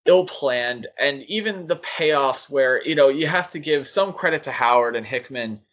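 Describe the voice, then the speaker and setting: A man co-hosting a podcast, giving casual critical commentary in a halting, thinking-aloud way. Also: medium pitch, fairly bright tone, slight background noise. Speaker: podcast co-host. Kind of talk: casual critical comics discussion.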